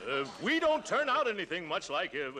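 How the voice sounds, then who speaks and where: An actor in 1940s radio comedy playing a blustering, pompous middle-aged uncle, stammering and chuckling nervously. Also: male voice, high-pitched, sing-song, pushed and bright, full character voice. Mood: flustered, boastful. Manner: flustered stammering, drawn-out musing. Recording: noisy old-time radio recording.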